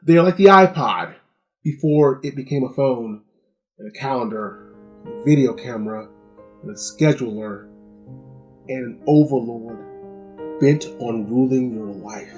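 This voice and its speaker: A man's voice: mid-range voice, a little slow, pauses often, faint music behind. Middle-aged male reviewer, casual and wry, chatty delivery straight to the viewer. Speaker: gadget review host.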